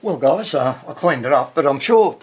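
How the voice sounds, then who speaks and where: A man giving casual, hands-on repair commentary as he hosts a video, thinking aloud in a husky voice. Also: on the high side, expressive, normal speed. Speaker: vintage radio repair hobbyist hosting video.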